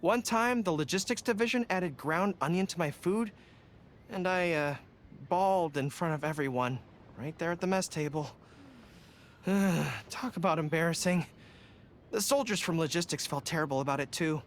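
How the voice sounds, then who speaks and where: A man's voice: a little high, moderate pace, slight background noise, natural voice. An actor playing an earnest young male army general in a video game, formal, dutiful and easily embarrassed. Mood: sheepish. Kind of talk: video game character voice lines.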